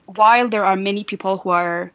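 A woman's voice: medium pitch, fairly even intonation, fairly quick, a bit bright, highs cut off. A woman from Iran whose first language is Farsi, answering as a political analyst on a radio interview with many fillers and restarts.

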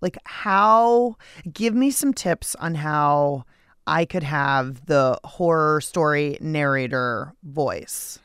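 A woman's voice: low-pitched, slow pace, fairly bright tone, clear, resonant tone, dry recording, clean studio sound. A woman hosting a radio show, asking questions in an informal, playful, self-deprecating way. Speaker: radio show host, interviewer. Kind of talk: casual, playful interview.

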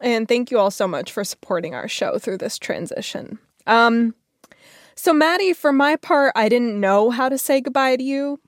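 Lady hosting a podcast, slightly high voice, heartfelt and casual, no room echo. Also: lively intonation, moderate pace, studio quality. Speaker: podcast host. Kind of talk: casual heartfelt farewell talk.

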